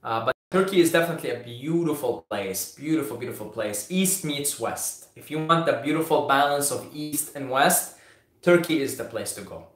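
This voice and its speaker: A man's voice: medium pitch, lively intonation, moderate pace. A young man originally from Syria, hosting a casual live question-and-answer stream, who often repeats his words and talks through fillers and restarts. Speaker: host answering viewer questions. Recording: echoey room.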